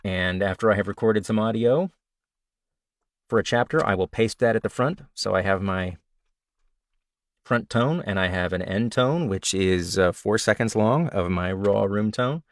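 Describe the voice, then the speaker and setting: A man with a slightly raspy voice, an audiobook narrator casually answering questions and sharing recording tips. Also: lowish voice, normal speed. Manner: relaxed, chatty, self-described nerd with frequent fillers. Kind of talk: casual Q&A livestream talk.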